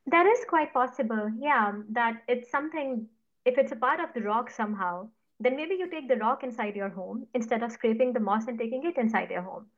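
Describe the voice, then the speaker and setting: Thoughtful woman from Punjab, muffled audio. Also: fairly high pitch. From Punjab, India. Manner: thoughtful, measured, careful hedging. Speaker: guest, writer on mosses. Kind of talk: interview.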